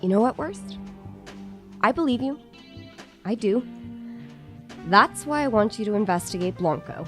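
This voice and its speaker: An actress playing a foul-mouthed police chief in an audio drama, blunt, sarcastic and commanding. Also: female voice, a little high, animated, a little slow, pauses often, soft background music. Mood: angry, demanding.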